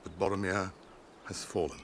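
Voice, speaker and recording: An actor playing a noble, stern wandering ranger and heir to kings, formal and commanding, in a radio fantasy drama. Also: male voice, low voice, level tone, moderate pace, lightly acted. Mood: grave, urgent.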